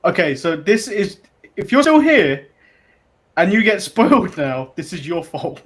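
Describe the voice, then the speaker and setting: A man's voice: high-pitched, big pitch swings, a little slow, a bit bright, slight background noise. A man hosting a casual chat show, rambling and chuckling as he talks. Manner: rambling, chuckling, restarts often. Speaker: podcast host.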